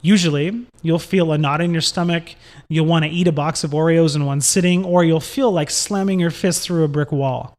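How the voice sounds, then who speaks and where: A man with a slightly high-pitched voice reads a scripted summary as a narrator. His delivery is measured and instructional, setting out each point in an explanatory style. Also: fairly even intonation, a little mellow.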